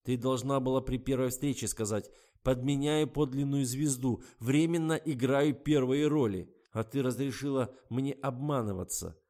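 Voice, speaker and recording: A man voicing a furious, contemptuous young lover who shouts and sneers, in an audiobook reading. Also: mid-range voice, unhurried, hardly any pauses, voice pushed up, natural voice.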